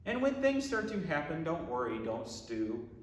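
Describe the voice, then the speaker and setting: Echoey, animated man reading a storybook aloud. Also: a little high, normal speed, a little hiss or hum. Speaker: pastor reading a children's book aloud. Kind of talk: expressive storybook read-aloud in rhyming verse. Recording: echoey room with long reverb.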